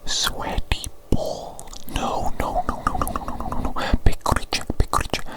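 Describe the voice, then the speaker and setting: A woman whispering softly into a close microphone, repeating each phrase and gently teasing as an ASMR doctor roleplay. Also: brisk. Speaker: ASMR creator. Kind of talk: ASMR roleplay of a medical exam.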